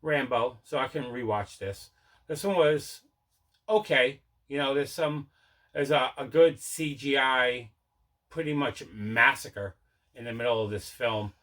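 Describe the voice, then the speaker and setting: A man's voice: medium pitch, measured pace, a bit bright. A man hosting a collecting vlog, chatting casually in a rambling, conversational way and correcting himself as he goes. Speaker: host of a collecting channel. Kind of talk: casual unboxing vlog chat.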